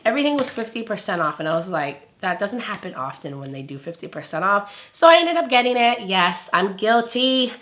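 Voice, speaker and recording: A woman in her thirties, a little raspy while she gets over laryngitis, chatting casually through a makeup review at home. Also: mid-range voice, sing-song, a little fast, few pauses. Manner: chatty and upbeat, often drops to a quieter tone while applying. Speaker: beauty vlogger. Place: home filming room with shelves and vanity lights.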